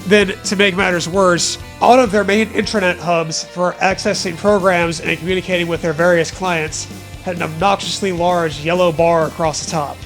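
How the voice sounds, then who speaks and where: A man's voice: high-pitched, steady tone, moderate pace, a bit bright, faint music behind. A man co-hosting a comedy show, mockingly reading fan fiction aloud with sarcastic, profane banter.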